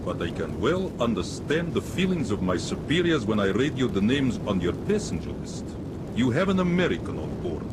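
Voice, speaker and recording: An actor playing a shrewd, suspicious Soviet captain of a survey ship in a radio drama, formal, probing and sardonic. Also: male voice, big pitch swings, moderate pace, natural voice. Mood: dryly sardonic. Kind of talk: 1970s radio drama acting. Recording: heavy engine rumble behind old radio recording.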